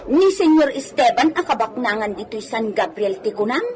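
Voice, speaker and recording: Woman acting sassy high-voiced Ilocano tavern girl. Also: expressive, normal speed, darkish tone, close to an everyday voice. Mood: pert. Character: sassy unmarried young woman selling liquor at a village tavern. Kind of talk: Ilocano comedy radio drama acting.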